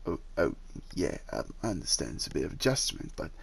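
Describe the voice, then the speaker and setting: A man playing a male dragon in human form, an eager, possessive suitor talking softly and haltingly to the listener in a roleplay audio drama. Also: rumbling low, very animated, creaky, husky, voice pushed down, exaggerated voice. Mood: tender, apologetic.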